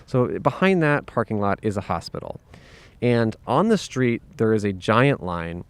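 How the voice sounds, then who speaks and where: A man's voice: fairly low pitch, very animated, unhurried, smooth. A man hosting a casual outdoor podcast, chatting and asking curious questions, often repeating himself. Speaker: podcast host. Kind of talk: casual street interview. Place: outdoors on a city street.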